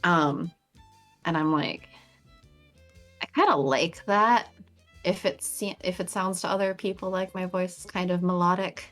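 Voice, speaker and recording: A young woman chatting casually on a game stream, talking in a melodic, animated way with lots of pitch variation. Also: measured pace, long pauses, soft background music. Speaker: streamer co-host.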